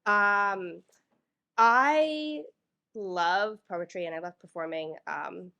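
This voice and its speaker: A woman's voice: mid-range voice, takes it slowly, gravelly, pushed and bright, dull audio. A woman from New York reading her own poems to a live audience in a library, a little nervous, with many fillers between pieces. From New York, United States. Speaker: featured poet. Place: public library event room. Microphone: microphone in front of a live audience.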